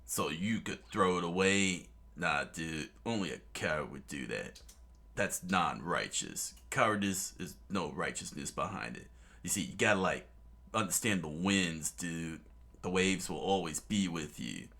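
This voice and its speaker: A man's voice: deep voice, expressive, a little slow, pushed and bright, full character voice. A man voicing a laid-back young pirate captain with a drawling surfer-dude manner. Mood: lecturing. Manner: drawling, says dude a lot.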